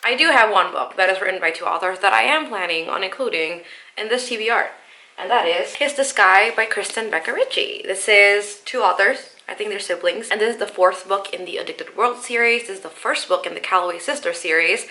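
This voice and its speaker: A woman's voice: mid-range voice, brisk, bright, forceful tone, rough recording. Stuffy-nosed young woman book vlogger. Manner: chatty and apologetic, explains each pick step by step. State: nasal and stuffed up from the flu. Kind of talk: casual vlog talk to camera.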